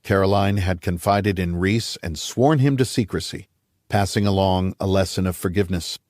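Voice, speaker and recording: A man's voice: sits low, normal speed, a little mellow, no room sound, clean studio sound. A man reading a scripted thriller as an audiobook narrator.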